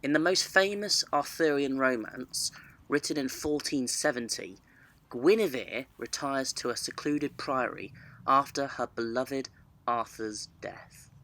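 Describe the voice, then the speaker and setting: A man's voice: medium pitch, a bit bright, low-quality recording. A man narrating a travel story as a storyteller, speaking to camera outdoors with a wry, playful manner. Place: outdoors on a cycling trip.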